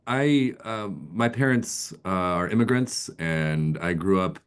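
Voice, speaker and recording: Smooth deep-voiced male author, informal. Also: slow pace. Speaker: author on a book panel. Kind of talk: casual panel talk.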